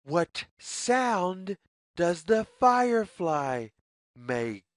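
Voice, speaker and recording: A storyteller reading a simple children's story aloud slowly and deliberately, phrase by phrase, in a sing-song way. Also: medium pitch, very animated, no room sound, clean studio sound.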